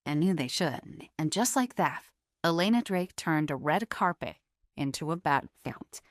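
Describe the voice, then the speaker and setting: A woman's voice: low-pitched, lively intonation, normal speed, dry recording, studio quality. A woman narrating a scripted drama story in a dramatic style.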